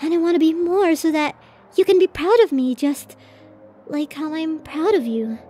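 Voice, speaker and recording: A woman's voice: very high voice, moderate pace, warm tone, soft background music. A woman voicing a bashful, tender tsundere college girlfriend in a romantic ASMR roleplay, flustered and hesitant as she swings between protest and affection. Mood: shy, earnest.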